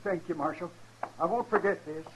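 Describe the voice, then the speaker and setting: An actor playing a desperate, humble homesteader, plaintive and folksy, with a rural American accent in a 1950s radio western. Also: male voice, fairly high pitch, normal speed, a little hiss or hum, natural voice. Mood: grateful. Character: desperate, starving poor homesteader, humble and pleading. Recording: dull old radio recording.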